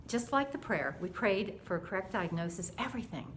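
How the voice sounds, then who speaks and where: A mature woman with a medium-pitched voice shares a personal story of faith. She is earnest and dramatic, slipping into dialogue as she retells it. Faint background noise sits under the recording. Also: sing-song, a little fast, a bit bright. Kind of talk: animated personal storytelling to camera.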